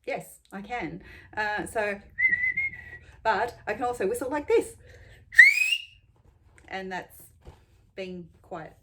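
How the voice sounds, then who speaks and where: An Australian woman in her forties answering tag questions in a casual, rambling way, with many ums and pauses. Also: mid-range voice, noisy background. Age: 40s. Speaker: beauty vlogger.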